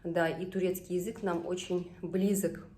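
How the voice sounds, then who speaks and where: A woman from Tatarstan, Tatar by first language, talks casually in her home kitchen in a slightly low voice. She chats along homely and breaks off now and then to coach her kids. A faint hiss sits under the recording. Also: level tone, normal speed, mellow. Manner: chatty and homely, often breaks off to instruct her children. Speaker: vlogger and mother. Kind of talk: casual home vlog chat while cooking with kids.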